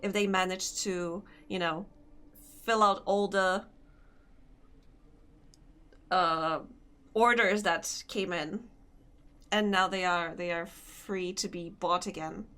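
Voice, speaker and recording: A woman's voice: mid-range voice, pushed and bright. A young woman with a Polish accent chatting casually with her stream chat while gaming, laughing often and answering comments. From Poland.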